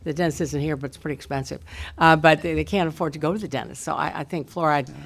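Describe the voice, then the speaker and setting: Creaky-voiced older council member. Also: medium pitch, a little hiss or hum. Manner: deliberate, halting, repeats words. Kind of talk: stating concerns at a public meeting. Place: public council meeting room.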